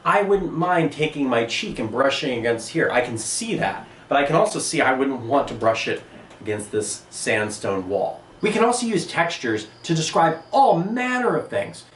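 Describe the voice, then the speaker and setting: A man teaching an art lesson on video, speaking emphatically in short phrases with pauses. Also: medium pitch, big pitch swings. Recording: echoey room, distant mic, noisy.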